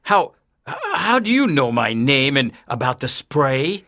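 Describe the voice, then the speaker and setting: A woman voicing a male alien who poses as a schoolteacher, speaking in a deep, measured, stern way. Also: very animated, fairly bright tone, exaggerated voice. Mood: surprised.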